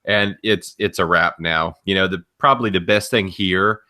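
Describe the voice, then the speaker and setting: Low, bright-voiced male podcast co-host. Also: steady tone, moderate pace. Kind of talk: casual commentary, reading out tweets.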